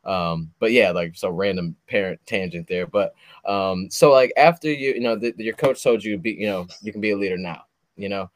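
Low-voiced rambling host in his thirties. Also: normal speed, no room sound, clean studio sound. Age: 30s. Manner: rambling, heavy on fillers like "like" and "you know". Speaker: podcast host. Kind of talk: casual interview chat.

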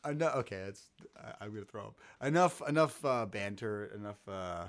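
A man's voice: lowish voice, animated, brisk. A man with a scratchy throat, hosting a casual sports podcast and rambling with many restarts. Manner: rambling, self-interrupting. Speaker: podcast host.

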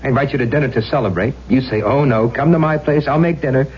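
An actor playing a frustrated, determined young suitor, blunt and insistent, in a 1970s radio drama. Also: male voice, mid-range voice, quick pace, breathy edge. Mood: exasperated. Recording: muffled, noisy 1970s radio recording.

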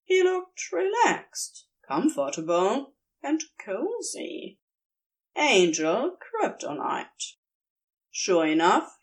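A woman reading a piece of fan fiction aloud, narrating in an unhurried, expressive storytelling manner. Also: on the high side. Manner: slow, expressive storytelling with lively intonation. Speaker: podfic reader.

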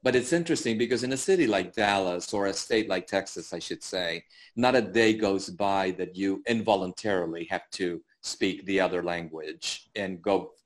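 A very low-voiced man from El Salvador talks casually as an interview guest. He chats in a storytelling way, with plenty of fillers and easy chuckles.

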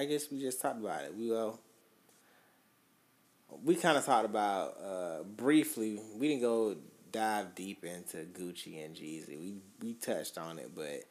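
Rambling man, casual podcast. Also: fairly low pitch. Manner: rambling, profane, trailing off quietly. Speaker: podcast co-host. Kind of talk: casual chat.

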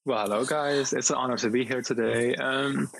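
A young man from the Netherlands, a podcast guest, talking modestly and hesitantly with many pauses. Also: mid-range voice, fairly even intonation, creaky, fairly bright tone, poor audio. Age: young adult. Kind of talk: casual podcast conversation.

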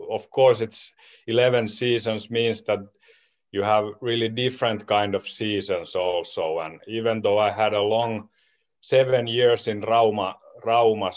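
A man's voice: on the low side, lo-fi sound. A man in his forties from Finland with a Finnish accent, a hockey coach answering podcast questions in English and searching for his words. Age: 40s. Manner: searching for words in a second language, restarting phrases. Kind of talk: podcast interview.

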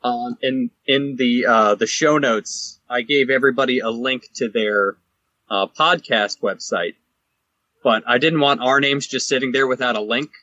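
A man's voice: mid-range voice, fairly even intonation, a little slow, pushed and bright, no echo, highs cut off. A man co-hosting a podcast, talking in casual, unscripted chat. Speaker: podcast co-host.

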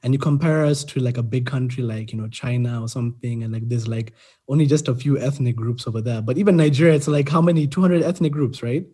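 A young man from Kenya talks casually and easily, trailing through fillers as he hosts a conversation. His voice is warm and dark, with a slightly husky edge. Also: medium pitch, quick pace. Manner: relaxed, chatty, many fillers.

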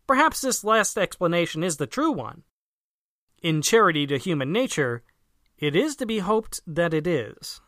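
A narrator reading a formal political essay aloud in a measured, emphatic way. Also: medium pitch, very animated, fairly bright tone, no room sound, clean studio sound. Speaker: audiobook reader.

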